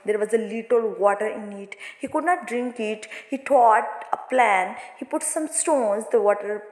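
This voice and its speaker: Echoey, higher-pitched woman teaching a story. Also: darkish tone. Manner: hesitant, halting phrasing with non-native English grammar. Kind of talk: explanatory lesson telling a moral story for students. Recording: echoey room with long reverb.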